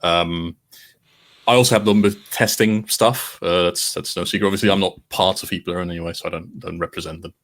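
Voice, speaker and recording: A man chatting as a podcast guest, laid-back and self-deprecating, often trailing off quietly. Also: low-pitched, fairly even intonation, a little fast. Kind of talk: casual chat.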